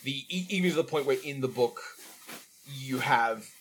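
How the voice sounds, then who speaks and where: A man co-hosting a casual podcast about an animated film, talking in a chatty, enthusiastic way. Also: medium pitch, normal speed, slight background noise. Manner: chatty, enthusiastic, acts out quotes. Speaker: podcast co-host.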